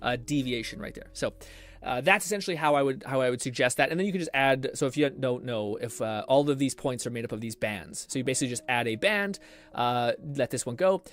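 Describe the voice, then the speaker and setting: A man's voice: medium pitch, quick pace, fairly bright tone. A man live streaming, explaining things in a casual, rambling way with self-deprecating swearing. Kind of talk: casual rambling stream talk with tutorial advice.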